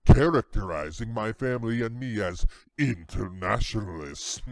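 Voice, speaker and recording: A man voicing an evil, proud elite banker in a creaky voice, reading a quote slowly and deliberately with smug menace. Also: on the low side, expressive, no echo. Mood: smug, sinister. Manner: slow, deliberate, gloating with villainous laughs. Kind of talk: mock-villain reading of a quote.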